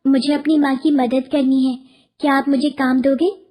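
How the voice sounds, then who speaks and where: A woman voicing an innocent, eager little girl with pleading, earnest delivery in an animated moral story. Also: sits high, normal speed, close to an everyday voice.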